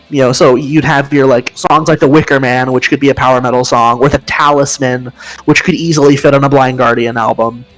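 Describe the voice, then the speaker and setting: A man with a high voice co-hosting a casual podcast chat, explaining with enthusiasm and humming riff sounds. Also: poor audio. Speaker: podcast co-host.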